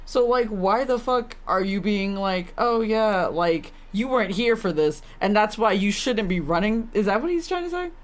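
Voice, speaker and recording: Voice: mid-range voice, expressive, moderate pace, hardly any pauses. A game streamer reacts live in a mid-range voice. The delivery is casual and incredulous, full of slang and swearing, like talking straight back at the characters on screen.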